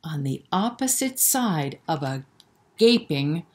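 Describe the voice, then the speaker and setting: Slow-paced woman reading a children's storybook. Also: low-pitched. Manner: expressive, explains words and prompts young listeners. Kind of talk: read-aloud picture book narration with asides to children.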